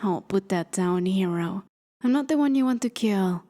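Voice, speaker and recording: A woman's voice: medium pitch, lively intonation, moderate pace, dark tone, natural voice. A woman playing an exasperated, sarcastic gambling deity who scolds in a theatrical way, in a fantasy ASMR roleplay.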